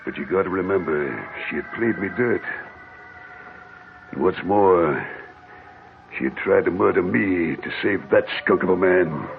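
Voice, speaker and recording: An actor playing a vengeful, murderous old gold miner with an American accent, menacing and folksy in an old radio horror drama. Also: male voice, deep voice, animated, vocal fry, slight background noise, heavily acted. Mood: brooding, bitter. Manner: menacing, rustic folksy speech, drawn-out dramatic storytelling. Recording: muffled vintage radio recording with music.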